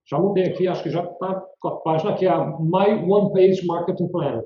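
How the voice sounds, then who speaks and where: A man with a mellow, fairly high voice talks quickly, summarizing and commenting in conversation. He sounds like he is in an echoey room, and the audio is muffled. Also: a little hiss or hum. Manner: quick, reflective, summarizing remarks. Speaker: co-host asking questions. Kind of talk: conversational interview.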